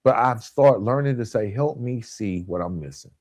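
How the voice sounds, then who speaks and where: A man hosting a motivational self-help podcast, speaking in an emphatic, instructional way with deliberate pauses and stressed key words. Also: mid-range voice, animated, a little mellow, no echo, clean studio sound. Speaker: podcast host.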